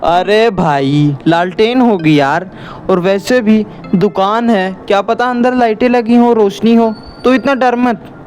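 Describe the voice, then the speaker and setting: A man's voice: high-pitched, unhurried, a little mellow, soft background music, voice pushed up. A man voicing a cocky, joking village boy in a horror cartoon story, breezy and dismissive.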